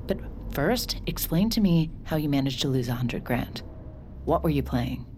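A woman's voice: sits low, animated, normal speed, darkish tone, slight background noise. An actress playing a guilt-ridden, wistful young woman in an intimate audio drama, hesitant and murmuring. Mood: apologetic, concerned. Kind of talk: intimate audio drama acting with hushed inner monologue.

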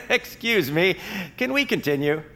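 A man's voice: high voice, big pitch swings, slow, heavily acted. A man playing an exasperated, hammy radio game-show host who keeps up a strained politeness. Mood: strained, weary. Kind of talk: radio game-show hosting, comic acting. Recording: loud studio audience crowd noise.

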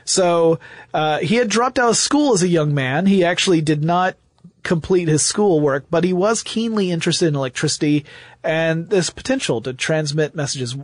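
A man's voice: on the high side, normal speed, dry recording, clean studio sound. A man hosting a podcast, explaining technology history in conversation with enthusiasm and hypothetical examples. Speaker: podcast host.

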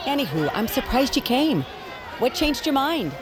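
A woman playing a playfully snide teenage girl in a comedic audio drama, expressive and mock-sweet. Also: high voice, normal speed, crowd noise.

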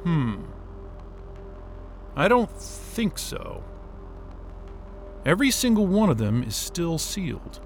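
A man's voice: a little high, slow pace, creaky, long pauses, faint music behind, exaggerated voice. A man playing a frantic, hot-tempered, impatient stranded man in a naturalistic audio drama. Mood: puzzled, wary. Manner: excitable, exasperated, muttering and cursing.

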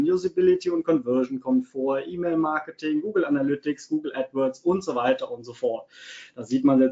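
A man's voice: fairly high pitch, darkish tone. Chatty man presenting a webinar. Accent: slight Cologne tinge. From Germany, Cologne. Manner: chatty, many fillers, repeats words for emphasis. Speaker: webinar presenter, SEO consultant. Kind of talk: live webinar talk. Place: home office. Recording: muffled lo-fi webinar audio.

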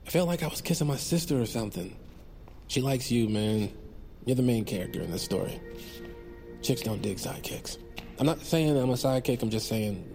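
A man's voice: medium pitch, animated, a little fast, darkish tone, soft background music, close to an everyday voice. An actor playing a casual, teasing young man, a slangy loyal sidekick in an audio comedy drama. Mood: exasperated.

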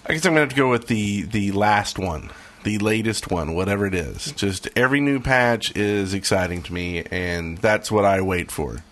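A man's voice: lowish voice, moderate pace, a bit bright. A man co-hosting a podcast in casual chat, with a wry, joking manner. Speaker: podcast co-host.

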